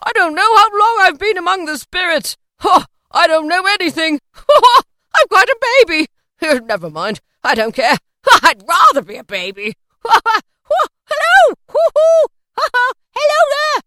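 An old reformed miser from a storybook, acted out by a woman, bursting with giddy joy. The voice leaps high and swoops widely, whooping and exclaiming in theatrical delight. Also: lively intonation, moderate pace, bright, forceful tone, dry recording, studio quality. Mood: giddy, elated. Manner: exclamatory, whooping, laughing between phrases. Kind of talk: theatrical storybook character reading.

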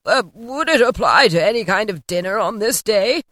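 A woman reading an audiobook and voicing an old male miser who asks hesitant, halting questions. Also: mid-range voice, lively intonation, fairly bright tone, dry recording, clean studio sound. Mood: questioning. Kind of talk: audiobook character voice.

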